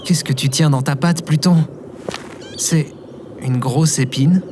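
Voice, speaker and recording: A man's voice: on the high side, slow pace, noisy background. A man playing a reluctant, easily flustered human leader in a comedic audio drama. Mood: bewildered.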